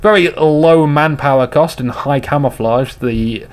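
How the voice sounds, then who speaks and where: A man casually commentating on a game replay, slightly hoarse, rambling and joking with his viewers. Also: fairly high pitch, unhurried. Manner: rambling, jokey, chats to viewers.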